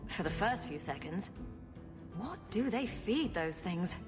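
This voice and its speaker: An actress playing a sarcastic adventurer heroine in a fantasy video game, with a dry delivery. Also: female voice, fairly low pitch, expressive, measured pace, over music. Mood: wry. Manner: dry, sardonic.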